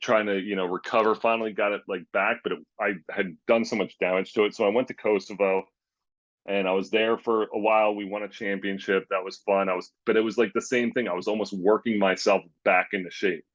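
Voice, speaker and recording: A man's voice: on the low side, fairly even intonation, brisk, no echo. A man, a former pro basketball player, telling rambling stories in a casual interview, full of fillers and quoting himself. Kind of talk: casual storytelling. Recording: dull, dry lo-fi sound.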